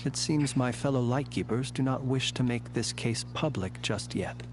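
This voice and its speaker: A man's voice: medium pitch, moderate pace, lightly acted. An actor playing a composed, courteous, mysterious soldierly man in a video game, speaking formally and with measure, over a noisy hum. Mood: calm, resolute. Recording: clear hum and background noise.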